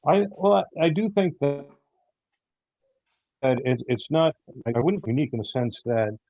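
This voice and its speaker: A man answering interview questions about a radio show, speaking in a halting way with repeated words. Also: a little high, expressive, dry recording. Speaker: audio theater director and producer, guest. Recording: muffled, phone-like, narrow-band line.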